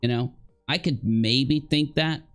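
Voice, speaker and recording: A man commentates casually on a livestream in a middling-pitched voice. He thinks aloud in a halting way and often turns his points into questions. Music plays softly behind him. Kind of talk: casual live reaction commentary.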